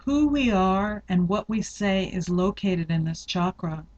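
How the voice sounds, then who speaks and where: Female meditation guide, mid-pitched voice, very unhurried and deliberate delivery, slightly muffled lo-fi sound. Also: expressive, darkish tone. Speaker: yoga nidra meditation guide. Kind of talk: guided meditation, slow instructional narration.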